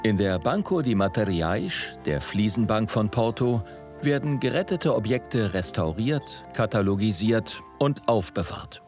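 Male narrator, lowish register, scripted documentary read over faint music. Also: expressive, unhurried. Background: faint background music.

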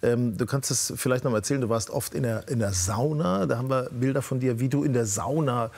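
Male talk host, slightly husky, leads the interview. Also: mid-range voice, a little fast, darkish tone. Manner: leading, explanatory. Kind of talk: studio interview.

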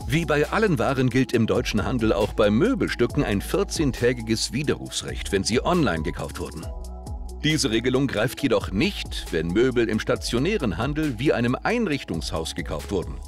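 A man's voice: mid-range voice, very animated, moderate pace, faint music behind. Formal male voice-over narrator. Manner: formal, informative. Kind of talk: scripted explanatory narration.

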